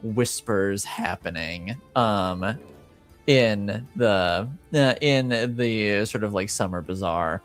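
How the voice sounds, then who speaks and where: A man improvising narration as a game master, hesitant and often pausing to find his words. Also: on the low side, faint music behind.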